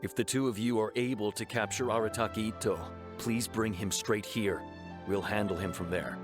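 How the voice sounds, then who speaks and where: An actor playing a stern, earnest law officer in a video game, speaking in a formal, measured way. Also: male voice, fairly low pitch, fairly even intonation, over music, close to an everyday voice. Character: stern, earnest male law officer giving case report.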